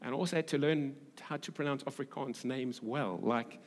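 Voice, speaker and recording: A man from Durban with a South African English accent, preaching as a pastor in a conversational, halting way with repeated phrases for emphasis. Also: medium pitch. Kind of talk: sermon with scripture reading and conversational asides. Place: large reverberant hall, preaching to a congregation. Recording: echoey hall with long reverb.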